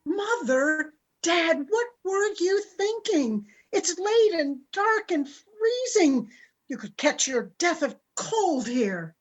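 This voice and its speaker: A woman's voice: very high-pitched, sing-song, takes it slowly, full character voice, rough recording. A woman playing a worried, weary middle-aged mother who speaks reflectively in an amateur radio play. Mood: scolding.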